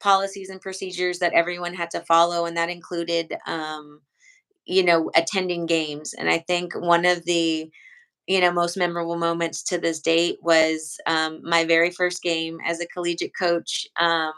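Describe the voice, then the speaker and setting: A woman's voice: on the low side, little pitch movement, moderate pace, a bit bright, smooth. A woman coach from San Antonio answering interview questions in an earnest, rambling way, with many ums and restarts. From San Antonio, Texas. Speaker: head coach, interview guest.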